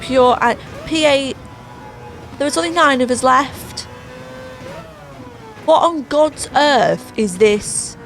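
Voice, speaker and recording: Slow, sarcastic woman losing her voice. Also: high voice, a little hiss or hum. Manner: sarcastic, exasperated. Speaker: gaming channel host. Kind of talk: racing game voice-over commentary. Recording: voice over clear background music.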